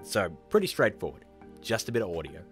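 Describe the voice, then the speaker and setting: A man's voice: lowish voice, wildly swooping pitch, brisk, fairly bright tone, faint music behind. A man in his thirties presenting a tutorial in a chummy, informal, upbeat way, talking to viewers as guys. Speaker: tutorial host.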